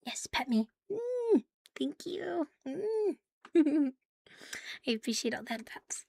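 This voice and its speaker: A woman livestreaming ASMR in a playful, cutesy catgirl manner, soft and breathy, often whispering, close to the microphone. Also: sits high, sing-song, takes it slowly, dry recording. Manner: playful, cutesy catgirl persona, with giggles and purring. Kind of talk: ASMR, intimate chat to live listeners.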